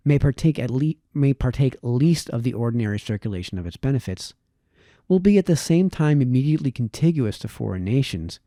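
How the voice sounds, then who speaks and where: Mellow-voiced man reading aloud, dry sound. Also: mid-range voice, no room sound. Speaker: reader, host of a reading series. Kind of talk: reading a historical political essay aloud.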